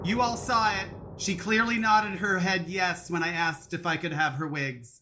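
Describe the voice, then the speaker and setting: A woman's voice: lowish voice, steady tone, normal speed, heavy background noise, close to an everyday voice. A woman playing a bitchy, greedy drag queen contestant, snide and impatient, in a campy comedic audio drama. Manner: snide, cutting.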